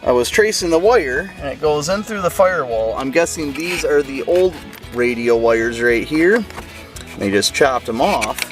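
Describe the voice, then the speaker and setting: A man's voice: on the high side, lively intonation, a little slow, faint music behind. Casual man thinking aloud, hands-on. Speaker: car hobbyist vlogger. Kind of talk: casual hands-on walkthrough to camera.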